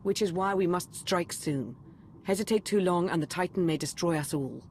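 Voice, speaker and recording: An actress playing a grave, calculating adviser in a video game, formal and deliberate. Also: female voice, lowish voice, a little mellow, slight background noise, close to an everyday voice. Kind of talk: cinematic video game voice acting.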